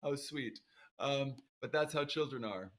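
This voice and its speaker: A man's voice: mid-range voice, measured pace, a bit bright, a little hiss or hum. A middle-aged man hosting a competition livestream, chatty and joking, with lots of fillers and restarts. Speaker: host of a violin competition livestream.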